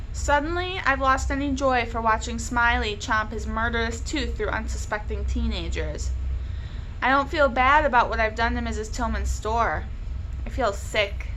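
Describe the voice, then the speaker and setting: A woman's voice: fairly high pitch, fairly bright tone, slight background noise, poor audio. A woman with an American accent reading a first-person children's novel aloud as a read-along narration.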